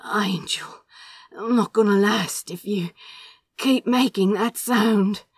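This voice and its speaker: A woman voicing an intense, lovestruck male sorcerer in a fantasy romance audiobook, with breathy, urgent strain. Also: medium pitch, takes it slowly, dry recording, studio quality. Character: male sorcerer lover, intense and desperately smitten.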